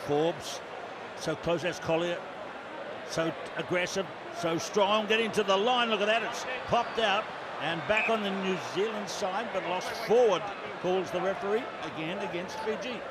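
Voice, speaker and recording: A man giving live play-by-play rugby commentary, briskly calling out players' names as the action unfolds. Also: high voice. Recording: commentary over loud stadium noise.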